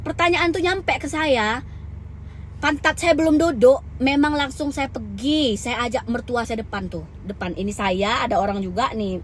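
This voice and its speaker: Young woman, raised pitch, chatty, blunt, emphatic, candid storytelling. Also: normal speed, slight background noise. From Lampung, Indonesia. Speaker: vlogger.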